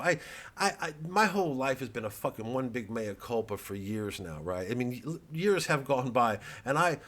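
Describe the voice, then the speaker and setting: A comedian talking to camera in a rambling, profane and self-deprecating way, with many restarts. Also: male voice, lowish voice, a bit bright.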